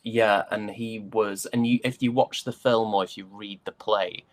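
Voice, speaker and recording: A man from Yorkshire with a softened British accent, chatting reflectively and hesitantly as an interview guest. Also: lowish voice, fairly even intonation, unhurried, dry recording. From Yorkshire, England. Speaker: interview guest, stage and voice actor. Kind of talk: casual interview chat.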